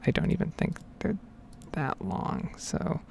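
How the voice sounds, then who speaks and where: A woman giving whispered and soft-spoken ASMR game commentary in a breathy, rambling, unhurried way. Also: slight background noise. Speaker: gaming ASMR video creator.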